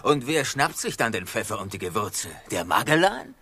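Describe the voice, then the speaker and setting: A man playing a gruff, grumbling, practical sailor in a satirical radio drama. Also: mid-range voice, sing-song, normal speed, bright, forceful tone, slight background noise.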